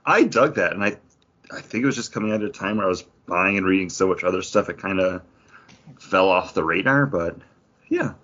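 A man with a fairly low voice chats as a podcast co-host. He talks in a casual, conversational way. The audio is somewhat dull and lo-fi. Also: animated, fairly quick, fairly bright tone. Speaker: comic book podcast co-host. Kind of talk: casual book discussion.

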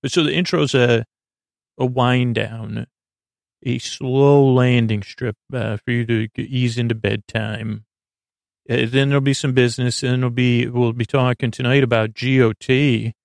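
A man hosting a silly bedtime podcast, talking in a meandering, halting way with frequent stammers and tangents. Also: medium pitch, steady tone, creaky, no echo, clean studio sound. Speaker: podcast host.

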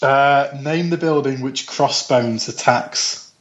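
A man's voice: medium pitch, takes it slowly, a crowd in the background. A man hosting a podcast, steadily asking quiz questions and keeping score. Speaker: podcast host running a quiz. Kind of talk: casual podcast chat. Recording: dull lo-fi audio.

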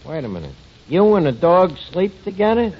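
Cocky tavern manager, high swooping voice. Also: male voice, sing-song, a little fast. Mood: wry. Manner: malapropisms, cocky self-important patter. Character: tavern manager, scheming, boastful, dim wisecracking man. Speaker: comedy lead. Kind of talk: 1940s radio sitcom acting. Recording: muffled, noisy 1940s radio broadcast, studio audience.

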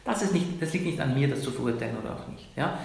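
Fast-talking emphatic man in an echoey room. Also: mid-range voice, lively intonation, gravelly, a little mellow. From Iran. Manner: emphatic, argumentative, frequent tag questions. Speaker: doctor. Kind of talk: interview guest.

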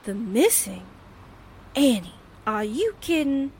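A woman acts out a wisecracking newspaper assistant in a forties radio drama. Her voice is high and very animated, brassy and slangy. Faint vehicle ambience runs behind her. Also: slow pace, slight background noise. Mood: puzzled, surprised. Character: wisecracking, incredulous young female newspaper assistant. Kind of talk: 1940s radio drama acting.

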